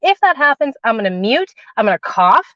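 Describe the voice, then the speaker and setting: A woman's voice: fairly high pitch, sing-song, brisk, bright, forceful tone, dry recording. Chatty woman livestreaming from a car. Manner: chatty, calls viewers darling and sweetheart. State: recovering from a cold, cough drop in mouth. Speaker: spiritual channeler and host. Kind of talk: casual livestream chat and guided meditation. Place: inside a parked car. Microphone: phone. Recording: dull lo-fi phone audio.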